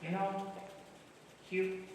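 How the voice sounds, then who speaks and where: A man acting an excitable, brash and optimistic screenwriter in a comic stage play, with an animated, emphatic delivery. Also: high-pitched, drawn-out words. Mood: confident, persuasive. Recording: echoey hall, distant mic, faint noise.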